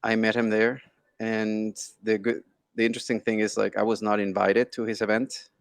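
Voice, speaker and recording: Gravelly low-voiced man chatting informally. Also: monotone, normal speed, dry recording. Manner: informal, often checking with "right?" and "you know?". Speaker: interview guest, tech entrepreneur. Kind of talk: casual video-call interview, telling an anecdote. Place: online video call.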